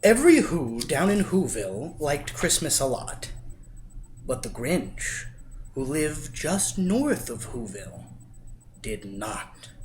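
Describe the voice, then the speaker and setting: A man reading a rhyming children's story aloud in an expressive, theatrical and emphatic way. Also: on the high side, a little hiss or hum. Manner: theatrical, emphatic, swelling and dropping for effect. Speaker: storybook reader.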